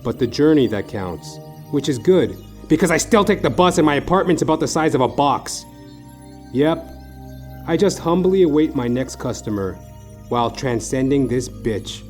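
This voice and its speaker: Casting: male actor as hard-boiled gumshoe narrator, wry and world-weary, medium-pitched, for a comic noir audio play. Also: a little mellow, lightly acted. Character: wry, world-weary, down-on-his-luck male private detective.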